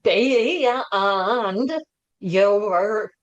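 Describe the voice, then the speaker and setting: A woman hosting a podcast interview, asking questions in halting, broken phrases on a dull recording. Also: mid-range voice. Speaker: podcast host.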